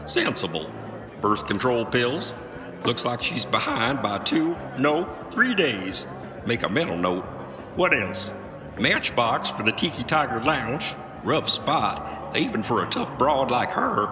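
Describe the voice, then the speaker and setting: A man's voice: mid-range voice, big pitch swings, takes it slowly. A man playing a cocky, dim, macho American trucker and amateur detective in a comic audio drama, folksy and self-assured. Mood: smug, musing. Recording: noisy vehicle and road ambience.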